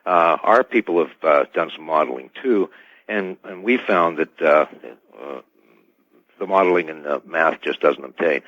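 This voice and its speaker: Over a dull, band-limited radio line, a man with a very deep voice speaks as an expert guest. His answers are formal and wordy, with an official ring. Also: lively intonation, normal speed, no room sound.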